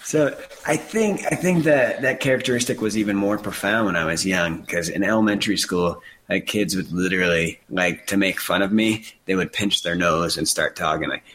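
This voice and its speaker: A man's voice: deep voice, normal speed, hardly any pauses, fairly bright tone, a little hiss or hum. A man in his thirties with a mildly nasal voice, co-hosting a casual chat and telling stories about himself with a laugh. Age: 30s. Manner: earnest storytelling with self-deprecating laughs. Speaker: podcast co-host.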